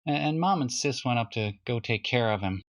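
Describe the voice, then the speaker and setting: A man's voice: medium pitch, animated, a little fast, close to an everyday voice. A man voicing a glum young boy, plaintive and slyly knowing, in a children's storybook reading. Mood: resigned.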